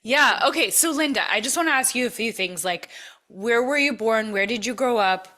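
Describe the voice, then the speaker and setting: A woman's voice: a little high, expressive, normal speed, pushed and bright. A woman hosting a podcast interview, reflecting back on her guest's story in an affirming, emphatic way, often repeating phrases for effect. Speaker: podcast host.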